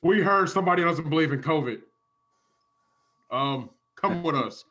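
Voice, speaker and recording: A man's voice: on the high side, normal speed, sharp tone. A man playing a brash, incredulous police officer in improvised comedy.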